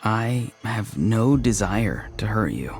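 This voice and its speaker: An actor playing a careful, apologetic young male mystic in a video game, speaking politely and gently in measured phrases. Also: lowish voice, big pitch swings, music in the background. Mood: apologetic, calm.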